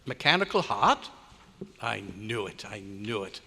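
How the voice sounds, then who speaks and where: A man's voice: on the low side, sing-song, measured pace, bright, forceful tone, slightly rough, exaggerated voice. A man playing an irritable, pompous German scientist in an old-time radio drama, declaiming with exasperation and a German accent. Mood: exasperated. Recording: echoey hotel hall live performance.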